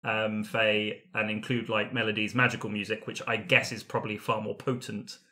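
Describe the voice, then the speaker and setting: A man's voice: lowish voice, little pitch movement, normal speed, pushed and bright. A man playing a tabletop roleplaying game, chatting playfully and casually with friends and often chuckling as he talks. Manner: playful, chuckles through sentences. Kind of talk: casual character discussion among friends.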